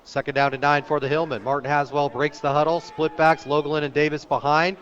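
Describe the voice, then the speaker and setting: Male radio play-by-play sportscaster, fairly high-pitched, clipped descriptive delivery for live high school football. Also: a little fast, highs cut off, a little hiss or hum. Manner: clipped descriptive phrases, excited on big plays. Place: outdoor stadium, live radio broadcast.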